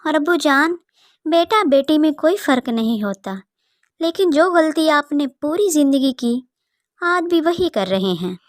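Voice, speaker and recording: A woman's voice: high voice, lively intonation, measured pace, no room sound, studio quality. A woman playing a devoted, earnest and insistent adult daughter in a dramatized cartoon moral story.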